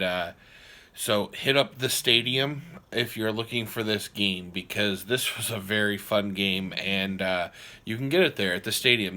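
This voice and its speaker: A man hosting a podcast, casually walking through a small craft store and describing it, with relaxed, rambling talk full of fillers. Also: lowish voice. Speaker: podcast host giving a store tour. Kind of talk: casual walkthrough narration.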